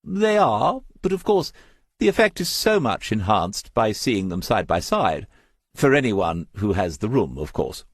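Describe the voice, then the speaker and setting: A man's voice: fairly low pitch, sing-song, unhurried, no room sound, clean studio sound, voice pushed up, heavily acted. A man voicing a pompous, genteel female gallery owner in an audiobook, proud and affected in manner. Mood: slightly hurt. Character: genteel, self-important middle-aged female gallery owner, gushing sales talk. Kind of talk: audiobook character voice.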